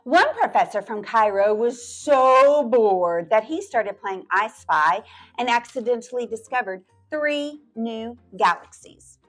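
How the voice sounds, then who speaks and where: A woman's voice: fairly high pitch, expressive, slow pace, soft background music. A woman library storyteller reading a picture book aloud to children, stretching words out and dropping into playful whispered asides.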